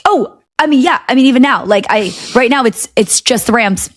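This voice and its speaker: A woman in her thirties in a casual chat as a podcast guest, excitable and full of restarts and fillers. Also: a little high, fairly quick, dry recording, studio quality.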